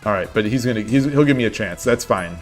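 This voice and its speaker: A man streaming an online board game, casually thinking aloud in a low-key way, often repeating himself as he plays. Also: lively intonation, quick pace, faint music behind. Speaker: streamer playing online shogi. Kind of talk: casual live-stream commentary.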